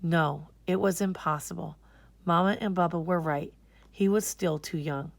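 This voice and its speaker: A woman's voice: lowish voice, moderate pace, vocal fry, no room sound. A woman reading a children's storybook aloud in a scripted narration style. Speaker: storybook reader.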